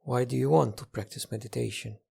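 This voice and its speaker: Husky, creaky man guiding a meditation. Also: mid-range voice, steady tone. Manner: gentle, unhurried, with long pauses between phrases. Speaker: meditation teacher. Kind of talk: guided meditation, slow spoken instruction.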